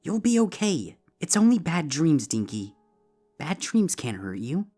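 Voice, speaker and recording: This is a woman playing a weary pony mom in a storybook reading. She coaxes softly and soothingly, with a lively rise and fall and worry underneath, over background music. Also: low voice, measured pace, heavily acted. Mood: worried, soothing. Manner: soft, soothing, coaxing. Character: tired, gentle, worried mother pony. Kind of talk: dramatized fan fiction reading.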